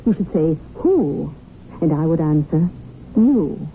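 An actress playing a poised, scheming, seductive widow in a 1970s radio drama, with a self-assured, insistent manner. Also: female voice, deep voice, sing-song, takes it slowly, exaggerated voice. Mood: composed, coy. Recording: tinny, noisy old radio broadcast.

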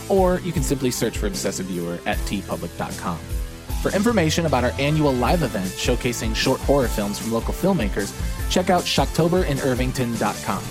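A man reading a scripted podcast outro announcement in a steady, even delivery. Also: mid-range voice. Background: guitar music bed.

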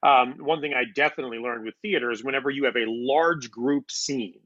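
A man's voice: mid-range voice, a little fast, a bit bright, lo-fi sound. A man explaining his craft conversationally, as a writer being interviewed. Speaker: playwright and audio drama writer.

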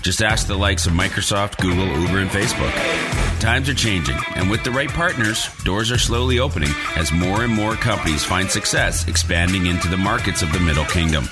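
A man hosting a business podcast, reading a measured scripted intro and then asking loose questions with fillers. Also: deep voice, over loud music. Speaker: podcast host.

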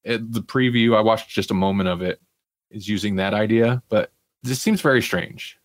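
A man's voice: lowish voice, moderate pace, dry recording. A man hosting a podcast, casually chatting through entertainment news and sometimes reading aloud, with wry, self-deprecating humor. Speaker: podcast host.

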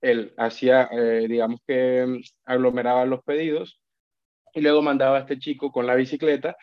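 A man's voice: mid-range voice, little pitch movement, moderate pace, clear, resonant tone, no echo, highs cut off. A man from Colombia with a Colombian Spanish accent, chatting as a podcast guest in a reflective way, often restarting and repeating words. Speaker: guest, account manager. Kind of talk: casual podcast conversation.

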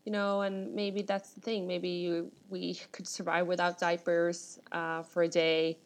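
Woman, guest founder, lowish voice, rambling casual delivery. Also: flat delivery, measured pace. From Sweden. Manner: chatty, rambling with many restarts. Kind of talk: casual interview chat.

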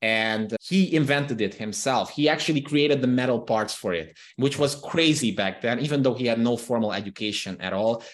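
A man from Transylvania, a native Hungarian speaker, talks as a guest in a relaxed interview. His pitch sits in a middle range, and he keeps restarting phrases and doubling words as he tells his stories. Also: moderate pace, few pauses. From Transylvania, Romania. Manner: restarts and repeats words, animated storytelling. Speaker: podcast guest, copywriter. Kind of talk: casual interview talk.

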